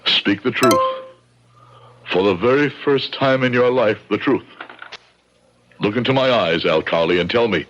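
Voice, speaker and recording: A man's voice: on the low side, measured pace, pauses often, a bit bright. An actor in an old-time radio drama playing a proud, vengeful, grieving man who declaims with heavy emphasis and repeats his phrases. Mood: solemn, demanding. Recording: dull, noisy old radio recording.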